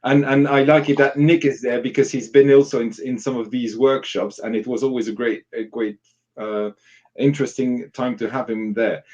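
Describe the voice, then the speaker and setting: A mellow-voiced man talks through workshop advice in an informal online session. He explains step by step and voices example questions as he goes. The audio is slightly dull and lo-fi, like an online call. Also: medium pitch, fairly even intonation, moderate pace. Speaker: workshop facilitator giving advice. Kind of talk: informal live online discussion.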